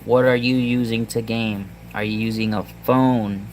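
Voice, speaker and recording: Puerto rican guy rambling about gadgets, lots of ums, low monotone. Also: a little hiss or hum. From Puerto Rico. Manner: halting, many ums and restarts. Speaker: tech vlogger. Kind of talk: casual rambling tech talk to viewers.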